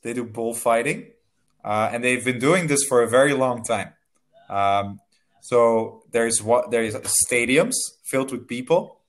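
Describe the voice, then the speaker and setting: A young man speaks into a headset from a small room, guiding a student through an online English lesson. His voice sits at an ordinary middle pitch, and he talks slowly and kindly, laughing now and then. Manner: friendly, laughs often, slow careful phrasing. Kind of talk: casual video-call lesson.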